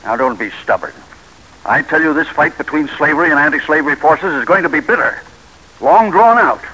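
An actor playing an earnest political ally who presses and persuades urgently, in an old-time radio drama. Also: male voice, a little high, expressive, brisk, sharp tone, breathy edge, heavily acted, low-quality recording. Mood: urgent, persuasive.

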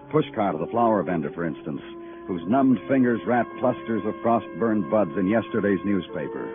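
Man acting a deep-voiced brooding radio noir detective. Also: lightly acted. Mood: lyrical, dramatic. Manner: solemn, dramatic, clipped poetic phrasing. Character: brooding male police detective who narrates poetically. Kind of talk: 1950s radio noir drama narration. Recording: muffled vintage radio recording with music.

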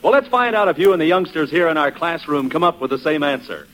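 A man's voice: fairly high pitch, expressive, fast talker, a bit bright, poor audio. An American man announcing in the style of a 1940s radio sponsor spot, on a vintage broadcast recording.

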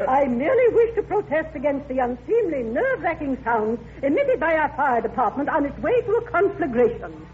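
An actress playing a haughty, fussy society matron with a wordy, affected, hoity-toity manner, in 1940s radio comedy style. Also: female voice, sits high, sing-song, a little fast, few pauses. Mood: haughty, affronted. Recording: tinny vintage radio, faint noise.

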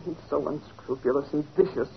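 A woman's voice: low-pitched, fairly quick, creaky. A woman playing a spirited, outspoken young newspaper secretary, pert and opinionated, with an American accent in 1940s radio style. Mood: indignant. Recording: muffled vintage radio, faint hiss.

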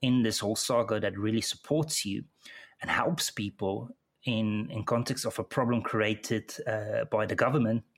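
Gravelly low-voiced South African man. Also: fairly even intonation. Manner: halting, often repeats words while restarting. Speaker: interview guest. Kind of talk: personal account in conversation.

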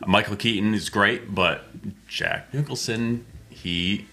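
Deep hesitant man in his thirties. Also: takes it slowly, sharp tone. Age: 30s. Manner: hesitant, filler-heavy. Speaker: podcast co-host. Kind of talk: casual movie podcast chat.

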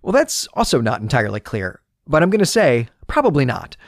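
Male podcast host, mid-pitched voice, very animated intonation, explanatory, emphatic, dry studio-like sound. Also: brisk, no echo. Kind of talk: book discussion podcast commentary.

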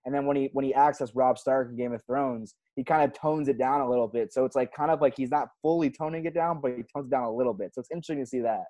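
Guy hosting a chat, stumbles and repeats himself, mid-pitched ordinary voice. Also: dark tone. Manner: halting, repeats words while asking questions. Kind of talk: casual chat.

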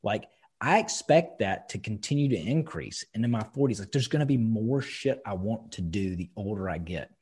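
A man's voice: lowish voice, animated, moderate pace, darkish tone, breathy edge. A man in his thirties, co-hosting a podcast, giving emphatic, casual advice with some swearing. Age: 30s. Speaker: podcast co-host. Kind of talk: casual chat.

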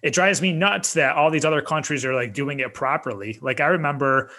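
Bright-voiced chatty man hosting a podcast. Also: a little high, brisk. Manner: agreeable, chatty, frequent backchannels. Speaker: podcast host. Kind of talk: casual podcast chat.

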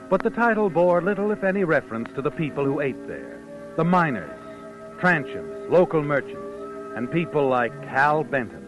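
A man playing an ominous, all-knowing narrator in a 1940s radio mystery, theatrical and brooding with dramatic pauses. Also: on the high side, rough recording. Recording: vintage radio recording with music behind.

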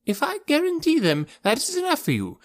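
A man voicing a fussy, plaintive gentleman who pleads in genteel, indignant phrases for an audiobook. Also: very high-pitched, wildly swooping pitch, a little fast, pitched above the performer's own voice, heavily acted. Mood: pleading. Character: fussy, plaintive, high-voiced gentleman, easily flustered. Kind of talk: audiobook character voice.

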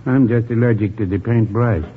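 A man's voice: fairly low pitch, measured pace, lightly acted. Guy doing a polite cautious older storekeeper, dry and hesitant, phone-thin old radio comedy w/ some hiss. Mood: dry, amiable. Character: mild, cautious, polite older small-town shopkeeper. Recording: tinny, noisy vintage radio recording.

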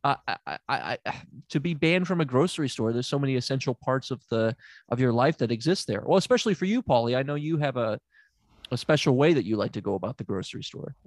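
A man's voice: medium pitch, expressive, fast talker, dry recording, studio quality, natural voice. A man playing a smug, unqualified therapist who rambles and stammers in an improvised comedy sketch. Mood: probing. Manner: rambling, stammering, self-assured.

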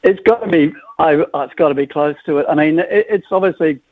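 Kiwi-accented man, 50s, slightly high voice, eager and stop-start, phone-line sound. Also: dry recording. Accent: New Zealand. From New Zealand. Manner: enthusiastic, halting with many restarts. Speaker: football supporters club guest. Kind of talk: radio phone interview.

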